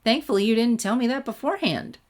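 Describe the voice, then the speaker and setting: A woman voicing a sharp, sarcastic young female ninja with dry, pointed retorts in a story reading. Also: on the high side, lively intonation, quick pace, pitched above the performer's own voice, close to an everyday voice. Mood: sarcastic, clipped. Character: sharp, sarcastic young kunoichi with hidden anger.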